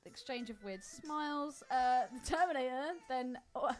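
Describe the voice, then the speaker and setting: A woman's voice: high voice, normal speed. A woman co-hosting a podcast, chatting casually about films in a giggly, playful way. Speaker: podcast co-host. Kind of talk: casual film chat with banter.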